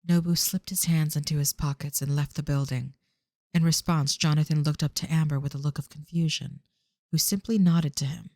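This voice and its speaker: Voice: mid-range voice, fairly even intonation, moderate pace, darkish tone, no room sound, studio quality. A narrator reading scripted storytelling for an audio drama.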